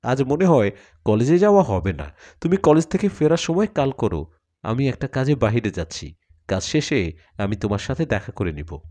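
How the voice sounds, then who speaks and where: A man's voice: medium pitch, big pitch swings, moderate pace, dark tone. Earnest young student hero acted by a male narrator, troubled yet reassuring, swinging intonation, audio story.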